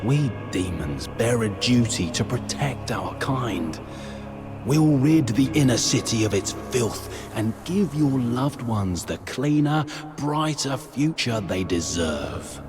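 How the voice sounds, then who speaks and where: A menacing demon revolutionary from a fantasy game. He speaks slowly and grandly, his pitch sweeping widely as he persuades and threatens like an orator. Clear music plays underneath. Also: expressive. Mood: solemn conviction. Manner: theatrical, oratorical, deliberate emphasis. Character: grandiose, vengeful male demon rebel leader, persuasive and menacing. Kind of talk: dramatic video game voice acting.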